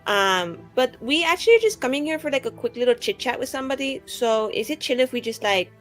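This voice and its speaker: A woman's voice: high-pitched, lively intonation, fairly quick, soft background music, rough recording. A woman playing a tabletop roleplaying game, chatting casually and playfully, slipping into improvised dialogue.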